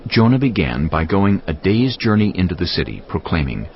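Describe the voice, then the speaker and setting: A man narrating scripture for a dramatized audio production in a deliberate storytelling manner. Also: low-pitched, very animated, a little hiss or hum. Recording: dull band-limited audio, faint music.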